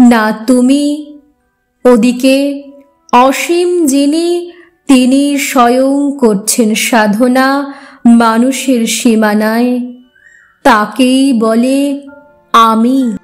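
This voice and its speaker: A woman reciting poetry in a dramatic, expressive and deliberate way, with measured pauses between phrases. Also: high-pitched. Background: music.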